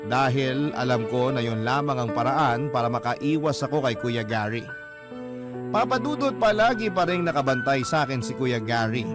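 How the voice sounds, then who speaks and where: A man with a Filipino accent reading a scripted first-person narration for a radio drama. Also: mid-range voice.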